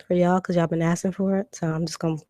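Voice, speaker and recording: A woman, hoarse from a cold, hosting a live stream and answering viewer comments in a relaxed chat with lots of ums. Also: lowish voice, fast talker, dry recording, studio quality. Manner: conversational, reads comments aloud and answers them, frequent ums.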